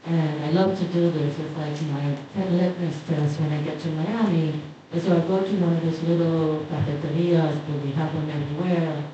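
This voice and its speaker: A woman with a Cuban Spanish accent telling relaxed anecdotes on a panel, in a large hall. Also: low voice, moderate pace. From Cuba. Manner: relaxed, anecdotal, light laughter between points. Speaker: panelist artist. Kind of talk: conversational storytelling on a panel. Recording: echoey hall, voice from far off the mic, dull sound, faint noise.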